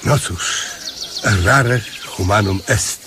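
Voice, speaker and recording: An actor playing a naive, touchy beggar who blusters in folksy, ungrammatical speech in a radio comedy drama. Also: male voice, fairly low pitch, extremely slow, noisy background. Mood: worried, naive. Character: naive male beggar, touchy, simple, self-important praying-for-hire huckster.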